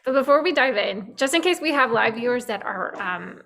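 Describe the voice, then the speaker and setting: A woman moderating a film festival Q&A, friendly and filling pauses with ums as she asks her questions. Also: on the high side, expressive, gravelly, a bit bright. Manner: friendly, with frequent fillers. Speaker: festival Q&A host.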